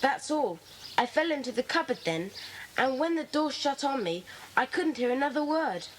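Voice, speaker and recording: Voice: high-pitched, moderate pace. An actor playing an eager, puzzled young schoolboy in a children's audio drama, telling things earnestly. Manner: earnest, animated. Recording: faintly noisy 1980s cassette.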